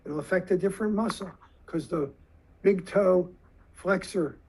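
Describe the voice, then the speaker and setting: A man giving a lecture to surgeons, explaining slowly and deliberately with questions to the audience. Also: high-pitched, a little mellow, a little hiss or hum, poor audio.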